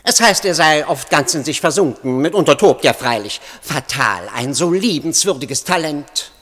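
A man's voice: a little high, lively intonation, normal speed, a bit bright. An actor playing a smug, matter-of-fact clinic attendant proud of his brutal science in a German radio drama. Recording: long hall reverb.

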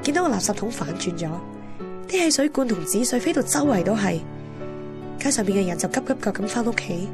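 A woman's voice: mid-range voice, lively intonation, brisk, lightly acted. Woman acting a lovelorn young Hong Kong heroine, music behind. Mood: melancholy. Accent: Hong Kong Cantonese. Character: lovelorn young woman narrating her romance, wistful and candid. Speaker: narrator and lead character. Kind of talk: radio drama narration and dialogue.

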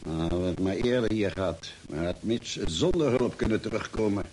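An actor playing a spaceship doctor who narrates in a Dutch radio play, expressive and articulate. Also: male voice, fairly low pitch, moderate pace, slight background noise, close to an everyday voice, low-quality recording. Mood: curious. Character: male spaceship doctor narrating his adventure. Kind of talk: scripted radio drama narration and dialogue. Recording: old radio drama with music.

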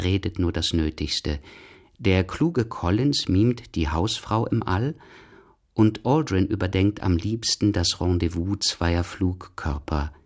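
A man with a very deep voice narrates a radio drama. His delivery is scripted and literary, measured like a storyteller's.

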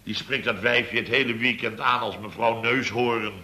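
Sharp, blustering boss. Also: male voice, medium pitch, fairly even intonation, moderate pace, echoey room. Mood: blustering, sarcastic. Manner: emphatic storyteller, repeats himself, sardonic. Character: blustering, exasperated male boss of a small building firm. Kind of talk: 1970s radio comedy acting. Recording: noisy vintage radio recording.

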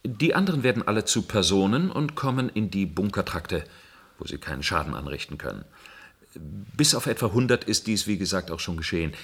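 Deep, husky, deferential control engineer. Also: male voice, big pitch swings, a little fast, a bit bright, full character voice. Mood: dutiful reporting. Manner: dutiful, formal, matter-of-fact reporting. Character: deferential male control engineer serving a dystopian bureaucracy. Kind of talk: German radio play acting.

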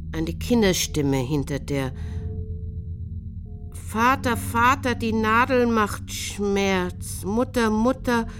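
An actress playing a haunted woman confessing uncertain memories in an audio drama monologue, halting and intense. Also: mid-range voice. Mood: urgent. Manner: halting, intense, self-correcting.

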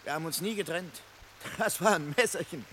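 A man's voice: a little high, brisk, fairly bright tone, a little hiss or hum, close to an everyday voice. An actor playing a stubborn, sulking fugitive man who grumbles sceptically, in a German radio play. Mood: sulky, wistful. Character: stubborn, sulking fugitive man mourning his lost knife.

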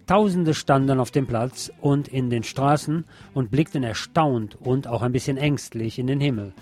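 A man's voice: mid-range voice, normal speed, darkish tone. A man presenting news items as a scripted magazine-style read. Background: music.

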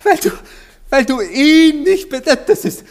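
Jealous, wildly swooping Viennese lover. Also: male voice, extremely high pitch, very animated, slow, full character voice. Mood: defiant outburst. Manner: agitated, sarcastic, repeating himself. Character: jealous, wounded, boastful Viennese male lover. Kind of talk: theatrical German radio play dialogue.

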